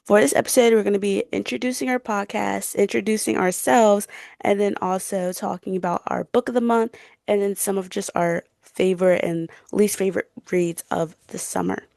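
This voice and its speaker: A young woman with a noticeably creaky, mid-pitched voice chats as a podcast co-host. Her delivery is casual and slangy, padded with fillers and prone to giggles. The sound is close and dry. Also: steady tone, normal speed, a little mellow, no echo. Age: around 20. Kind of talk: casual chat.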